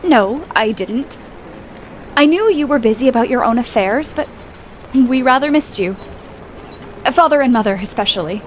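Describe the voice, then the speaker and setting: A woman's voice: a little high, animated, normal speed, long pauses, slight background noise, natural voice. A woman playing an earnest, proud, emotional young woman in love in a period audio play, speaking politely and a little hesitantly. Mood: reserved.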